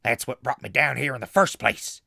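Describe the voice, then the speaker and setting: An actor playing a hot-tempered backwoods hermit in a comedy audio drama, folksy and threatening, with a rural Southern US accent. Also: male voice, medium pitch, normal speed, creaky, pushed and bright, slightly rough, dry recording, clean studio sound, exaggerated voice. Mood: menacing. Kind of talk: post-apocalyptic comedy audio drama acting.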